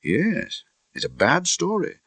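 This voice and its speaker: A man voicing an indignant Victorian gentleman with a husky British voice, telling a grim tale in an emphatic, theatrical audiobook style. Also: a little high, wildly swooping pitch, slow, dry recording, pitched above the performer's own voice, full character voice. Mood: disapproving, musing. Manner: emphatic, theatrical, conversational asides. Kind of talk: dramatised audiobook storytelling.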